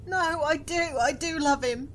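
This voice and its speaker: A woman's voice: sits high, a little slow, a bit bright, slight background noise. A woman playing a frightened, pleading prisoner in an audio drama, anxious and urgent. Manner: anxious, stammering, urgent questioning. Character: frightened, pleading female prisoner, devoted wife.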